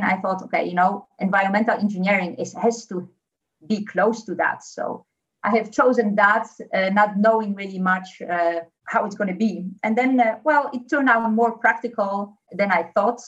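A woman's voice: mid-range voice, moderate pace, dull audio. A young woman from Poland with a Polish accent, speaking as a guest on a podcast interview, hesitant with many fillers. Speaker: engineer guest.

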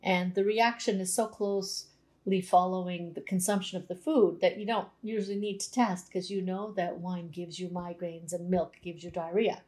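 A woman's voice: fairly low pitch, level tone, normal speed, darkish tone. Canadian lady naturopath chatting, explains stuff with everyday examples. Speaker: naturopathic doctor guest. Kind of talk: explanatory interview talk.

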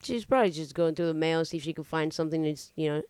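A man from Argentina with a very clear, smooth voice trades casual banter as a podcast co-host. He is blunt, questioning and sweary. The recording is close and dry. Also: fairly high pitch, fast, darkish tone, dry recording. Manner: informal, blunt, frequent swearing.

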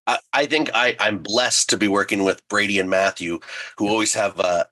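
A man who writes for a living, chatting as an interview guest in a measured, dry-humoured way. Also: lowish voice, bright, forceful tone. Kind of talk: casual interview chat.

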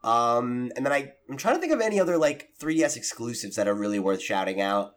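A man's voice: mid-range voice, sing-song, fast talker. A man hosting a casual gaming podcast in a conversational, chatty way. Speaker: podcast host.

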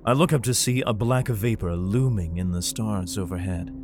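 A man's voice: fairly low pitch, normal speed, music in the background, close to an everyday voice. An actor playing an anxious, haunted father in a sci-fi audio drama, with an intense, theatrical delivery. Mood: haunted, ominous. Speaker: lead actor and narrator.

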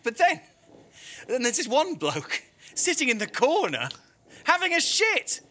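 An actor playing an indignant, fanatical Norwegian fascist prison guard in an audio drama, aggrieved and emphatic. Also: male voice, very high voice, wildly swooping pitch, unhurried, sharp tone, exaggerated voice. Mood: disgusted, angry.

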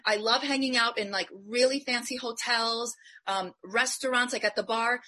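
Woman from Colombia, business panelist; punchy, motivational delivery, sharp bright tone. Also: on the high side, moderate pace. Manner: emphatic, motivational, animated. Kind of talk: live online panel discussion.

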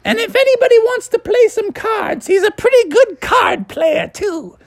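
A man voicing a cheerful, old-fashioned male groundhog who talks in a high, slow, folksy way. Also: lively intonation, no room sound, studio quality.

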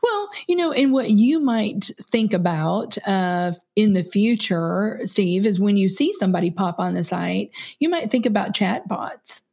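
A woman's voice: medium pitch, expressive, a little slow, creaky, hardly any pauses, a little mellow. A woman executive talking as an interview guest in an earnest, hesitant way with many fillers. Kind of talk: casual interview chat.

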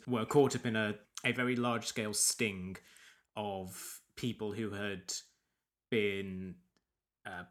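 A man's voice: on the low side, fairly bright tone. A man hosting a casual film podcast, a little sniffly from allergies, rambling with many restarts. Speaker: podcast host.

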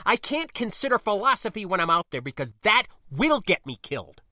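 A man's voice: on the high side, big pitch swings, normal speed, bright, forceful tone, dry recording, clean studio sound, pitched above the performer's own voice. A man voicing a stubborn, wry space pilot in an audiobook, colloquial, defensive and insistent.

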